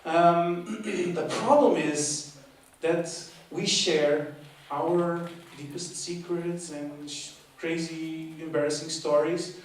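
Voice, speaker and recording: Young man doing stand-up in an echoey hall. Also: on the high side. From half Portuguese, half Argentinian. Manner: chatty, playful, self-mocking, talks to the audience. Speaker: stand-up comedian and course leader. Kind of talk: stand-up comedy set. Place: live comedy show in a hall. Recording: echoey hall from far off, noisy. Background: audience laughter.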